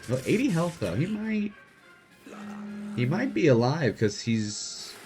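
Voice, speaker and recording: A soft-spoken young man casually commenting on his gameplay as host. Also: a little high, sing-song, takes it slowly, music in the background. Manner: quiet, soft-spoken, laid-back. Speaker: gaming channel host.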